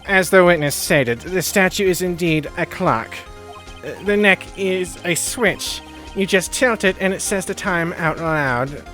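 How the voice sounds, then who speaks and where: A man voicing a deferential, smooth-talking courtroom prosecutor in a video game, explaining things formally. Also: high-pitched, measured pace, bright, forceful tone, soft background music. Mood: formal, apologetic.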